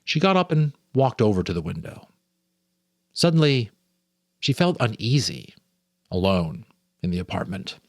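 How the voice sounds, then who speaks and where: A man's voice: on the low side, very animated, moderate pace, gravelly, long pauses, dry recording, studio quality. A man reading a ghost story aloud as a storyteller, with a theatrical, suspenseful delivery. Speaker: storyteller, host.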